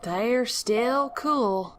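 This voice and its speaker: A woman's voice: on the high side, very slow, fairly bright tone, slight background noise. A woman playing a hopeful, stubborn soldier on monster watch in a comic two-person dialogue.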